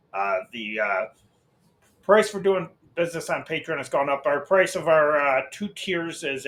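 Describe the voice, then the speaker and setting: A middle-aged man with an American accent speaks at a medium pitch. He talks off the cuff like a channel host giving an update, wandering through his thoughts with hesitations and repeated words. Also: fairly bright tone. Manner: rambling, hesitant, often breaking off and repeating himself. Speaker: host of an old-time radio channel. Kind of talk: casual unscripted channel update.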